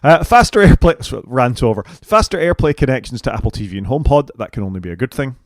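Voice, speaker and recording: A man's voice: mid-range voice, animated, fast talker, no room sound, clean studio sound. A British man co-hosting a casual tech podcast, working through a list with wry, opinionated asides. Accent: British English. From United Kingdom. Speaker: podcast co-host.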